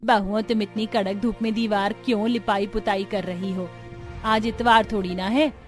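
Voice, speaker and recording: Give a weary village mother-in-law, voiced by a woman narrator in a cartoon story. She complains and scolds in a mid-pitched voice, with music playing softly underneath. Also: fairly even intonation, normal speed, close to an everyday voice. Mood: puzzled, chiding. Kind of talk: Hindi cartoon story dialogue.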